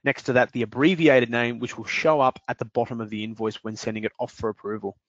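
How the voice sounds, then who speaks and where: A man talks through a software screen in a steady, explanatory way, pausing for the odd filler word. The sound is dry with no room around it. The recording is slightly dull and lo-fi. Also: mid-range voice, no room sound. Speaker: software demonstrator. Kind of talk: screen-recorded product walkthrough.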